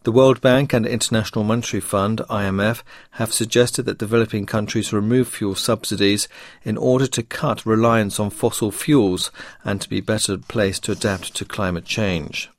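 Low-voiced male radio newsreader. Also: fairly even intonation, normal speed, no room sound, clean studio sound. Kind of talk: scripted news bulletin reading.

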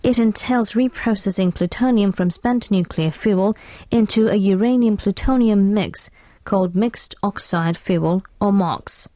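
A woman's voice: mid-range voice, mellow, no echo, clean studio sound. A woman presenting scripted international news on the radio.

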